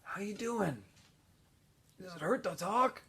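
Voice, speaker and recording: A man's voice: sits high, lively intonation, slow, fairly bright tone, breathy edge, exaggerated voice. A man reading a worn-out, thirsty teen boy. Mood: weary. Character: exhausted, parched teenage boy stranded on a mountain.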